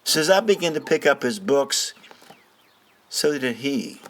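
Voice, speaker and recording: A man telling a story in the first person, with a folksy, colloquial manner and emphatic, suspenseful pauses. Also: medium pitch, lively intonation, a bit bright. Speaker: narrator of true stories.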